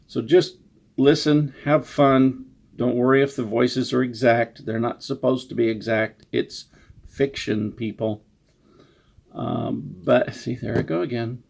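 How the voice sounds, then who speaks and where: A man's voice: mid-range voice, steady tone, unhurried, creaky, a little mellow. A man giving a casual, unscripted introduction as an author, rambling and self-deprecating. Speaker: author introducing his story.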